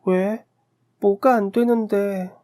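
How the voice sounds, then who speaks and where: Guy doing a little kid in a radio story, high voice, confused, pleading questions. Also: fairly even intonation, takes it slowly, dark tone. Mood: bewildered, pleading. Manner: plaintive questioning. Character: young child in a dream, bewildered and pleading.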